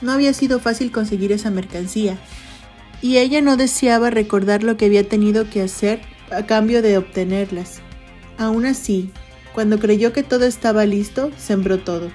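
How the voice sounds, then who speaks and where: A woman's voice: mid-range voice, warm tone. A woman reading a scripted literary narration for an audio drama. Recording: music bed under voice. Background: background music.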